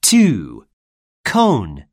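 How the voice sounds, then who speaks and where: A woman reading a scripted textbook listening drill, saying words slowly and deliberately for learners to repeat. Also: extremely low pitch, huge pitch swings, dry recording, clean studio sound. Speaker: lesson narrator.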